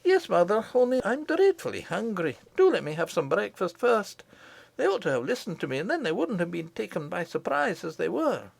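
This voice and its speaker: A man's voice: high voice, huge pitch swings, moderate pace, gravelly, no echo, voice pushed up, exaggerated voice. A man voicing a brave, dutiful young miner boy, earnest, impulsive and polite, in a storybook reading. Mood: hungry, insistent.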